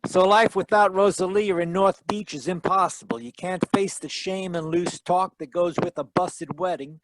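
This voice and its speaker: A man's voice: sits high, steady tone, normal speed, no echo, close to an everyday voice, poor audio. A man playing a wry, tough private detective in a 1940s radio style, dryly wisecracking, recorded over a video call. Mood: cocky, insistent. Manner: wisecracking, dry. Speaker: actor narrating and playing lead detective. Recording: live video call performance.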